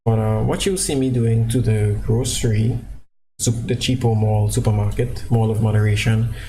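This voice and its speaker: A man's voice: on the low side, vocal fry, warm tone, slight background noise, poor audio. A man with a Trinidadian accent giving casual, rambling commentary over gameplay, full of fillers and restarts, recorded on a cheap microphone at home. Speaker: gaming channel creator. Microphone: cheap low-quality microphone.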